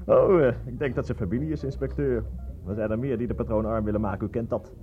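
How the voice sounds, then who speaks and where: A man's voice: medium pitch, fairly even intonation, fast talker, lightly acted. A man playing a brash, slangy barman of a shady bar in a radio play, cocky and chuckling, oily in manner. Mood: jovial, ingratiating. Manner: cocky, chuckling, fawning to police. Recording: muffled radio play recording with music.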